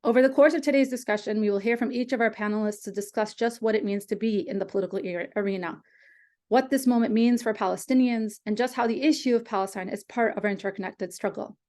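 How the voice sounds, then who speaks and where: A woman's voice: medium pitch, quick pace, a little mellow. A woman moderating a webinar, reading polished speaker introductions and questions from a script over a video call.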